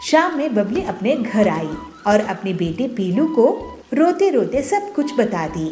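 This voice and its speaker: A woman telling a children's moral story, with clear, steady narration. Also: a little high, unhurried, faint music behind.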